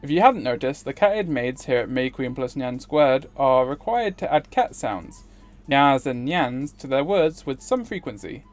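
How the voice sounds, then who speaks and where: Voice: medium pitch, very animated, moderate pace. A streamer reading a visual novel aloud, with casual commentary. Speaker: livestreamer. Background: music.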